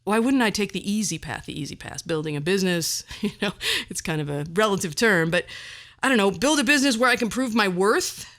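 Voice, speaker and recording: A woman's voice: medium pitch, lively intonation, a little fast, fairly bright tone. A middle-aged woman hosting a podcast, delivering a personal monologue in a wry, self-deprecating and theatrically emphatic way. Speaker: podcast host.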